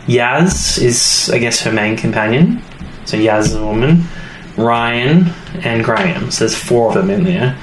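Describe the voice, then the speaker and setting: A male podcast co-host retells a story in a loose, conversational way, pausing and restarting with small asides. His pitch sits in the middle. The room is echoey, with faint noise behind him. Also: lively intonation. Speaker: podcast co-host recapping a TV episode. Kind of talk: casual chat, episode recap. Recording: roomy echo, faint background noise.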